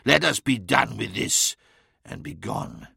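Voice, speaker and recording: A man's voice: medium pitch, animated, takes it slowly, creaky, bright, forceful tone, husky, dry recording, studio quality, exaggerated voice. A man playing a proud, defiant warrior lord in an audiobook, imperious and grand in his delivery. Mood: bitter. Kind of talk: dramatic audiobook character acting.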